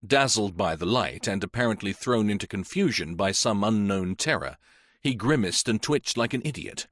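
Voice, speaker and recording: A man reading a mystery novel aloud in a measured, even storytelling manner. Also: on the low side, no echo, clean studio sound. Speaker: audiobook narrator. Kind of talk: scripted narration.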